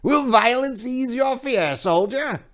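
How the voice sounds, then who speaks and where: A man voicing a leering, menacing high priest of a dark god in a dark storybook reading, with a mocking, theatrical, drawn-out delivery. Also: lively intonation, full character voice. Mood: mocking menace.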